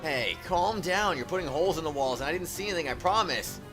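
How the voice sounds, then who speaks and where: A man's voice: fairly high pitch, fast talker, music in the background, pitched above the performer's own voice, natural voice. A man voicing a flustered, defensive young anime hero in a visual novel. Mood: pleading, panicked. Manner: defensive, excitable.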